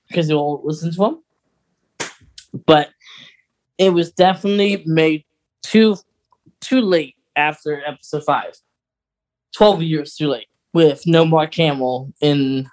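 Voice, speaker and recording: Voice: mid-range voice, low-quality recording. A podcast co-host chatting casually and emphatically about opinions, in an informal conversational way. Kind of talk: casual chat, opinionated film and radio drama discussion.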